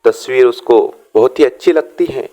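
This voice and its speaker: A man narrating a scripted moral story for children, deliberately, phrase by phrase. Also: mid-range voice, warm tone, low-quality recording.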